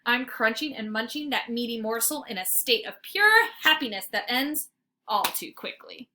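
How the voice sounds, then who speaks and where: A woman narrates a children's story like a teacher reading to a class. Her voice is fairly high, bright and very animated, with dramatic emphasis on key words. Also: moderate pace.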